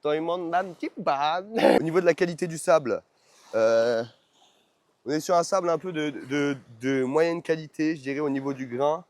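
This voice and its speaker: A man's voice: on the high side, moderate pace, a little mellow, dry recording. A man chatting casually to his followers in a travel vlog, in informal, slangy speech with phrases repeated for emphasis. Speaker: vlogger; online course seller. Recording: noisy on-location vlog sound.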